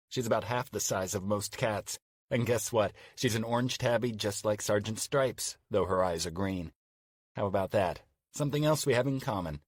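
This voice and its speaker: A man's voice: fairly low pitch, no echo, clean studio sound, close to an everyday voice. A man narrating an audiobook as a courteous, chatty older single man writing courtship emails.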